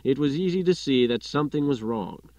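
A man's voice: mid-range voice, animated, brisk, a little mellow, dry recording, lo-fi sound. A man reading a literary short story as an audiobook narrator, in scripted narration.

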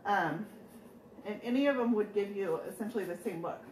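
A woman in her thirties hosting a casual live furniture painting demo, chatty and quick to laugh as she jokes with viewers. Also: mid-range voice, darkish tone, slight background noise. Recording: echoey room, mic at a distance.

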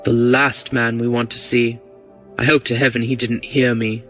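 Man playing a breezy, assured gentleman rogue. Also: mid-range voice, level tone, moderate pace, soft background music, natural voice. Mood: tense, brisk. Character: cool, bold, quick-witted English gentleman rogue. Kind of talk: audiobook character reading.

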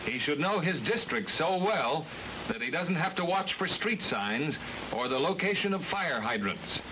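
A hissy, noisy old film soundtrack carries an American man narrating a vintage training film. His voice sits a little high and moves with lively intonation. He reads his script in a measured, instructional way, with every word clearly enunciated. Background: loud hiss.

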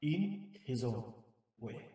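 Aged rat sensei for a comic dub , male actor. Solemn, mid-pitched, unhurried, poetic narration. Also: sing-song, mellow. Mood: grave. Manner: grave, measured, poetic. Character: wise, solemn old male mutant rat master.

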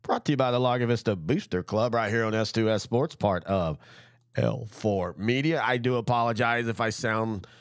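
A middle-aged man with a congested head cold, hosting a high school football show in a rambling, enthusiastic way. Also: moderate pace, smooth, no room sound, studio quality. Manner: rambling, self-correcting, enthusiastic. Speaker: sports show host. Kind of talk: casual sports talk. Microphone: studio mic.

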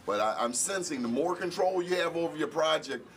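A man's voice: on the high side, expressive, a bit bright. A middle-aged man, an event organizer and radio host, speaking reflectively in an on-camera interview in an indoor hall. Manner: reflective, measured, gestures with hands.

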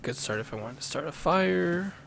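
A young man streaming a horror game from a small room at home, reading its notes and diaries aloud in an even, steady way over his headset mic. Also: a little high, moderate pace, gravelly, noisy background. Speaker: gameplay streamer. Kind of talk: reading game text aloud while playing. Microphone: headset with desk mic.

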